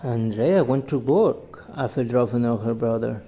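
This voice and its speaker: A man's voice: mid-range voice, moderate pace, warm tone. A man teaching English by reading a short story and drilling it with questions he asks and answers himself, repeating each sentence.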